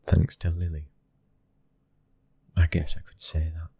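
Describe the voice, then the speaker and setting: A man playing a gentle, devoted mafia husband and father with a British accent. His voice is extremely deep and very breathy, often sinking to a whisper. The delivery is even and intimate, in a soft ASMR roleplay style, recorded close and dry. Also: measured pace, no echo, full character voice. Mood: proud, sincere.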